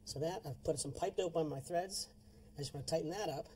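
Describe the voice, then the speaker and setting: A man's voice: fairly high pitch, fairly quick, a little mellow, a little hiss or hum. A middle-aged man giving a plain-spoken, instructive demonstration as a home improvement heating expert. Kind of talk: hands-on explanatory demonstration.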